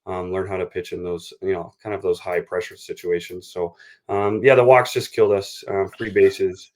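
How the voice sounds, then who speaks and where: A man with a low voice that stays fairly flat. He speaks like a coach in a sports interview, measured and explanatory, dropping in "you know" as he works through his points. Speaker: baseball coach being interviewed.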